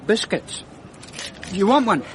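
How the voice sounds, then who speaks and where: An actor playing a grumbling young police constable who keeps complaining. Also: male voice, high voice, very animated, slow, slight background noise, heavily acted. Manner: whiny, grumbling. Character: grumbling young male constable munching biscuits. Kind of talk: radio drama acting.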